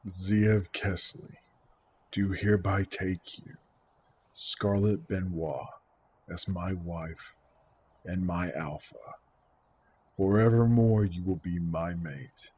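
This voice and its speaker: A man playing an intense, devoted young bridegroom in an audio drama, solemn and deliberate, with some background noise. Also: low-pitched, darkish tone.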